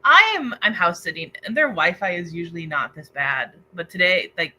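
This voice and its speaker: Bright-voiced woman hosting a casual book chat. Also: on the low side, expressive, moderate pace. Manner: informal, reads out viewer comments. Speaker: book club host. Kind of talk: casual livestream book discussion. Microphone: internet video call.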